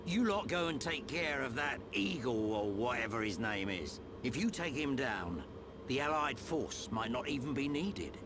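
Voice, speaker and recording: Man playing a gruff, cocky British veteran, animated. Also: mid-range voice, moderate pace, background noise, close to an everyday voice. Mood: wry, businesslike. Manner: blunt, slangy, wry. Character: gruff, cocky, roguish older male veteran soldier. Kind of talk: video game cutscene acting. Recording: loud orchestral game music behind.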